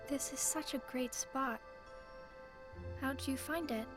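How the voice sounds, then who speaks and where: A woman playing a shy, soft-spoken young girl in a video game, gentle and hesitant. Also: high-pitched, slow. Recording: game audio with music behind.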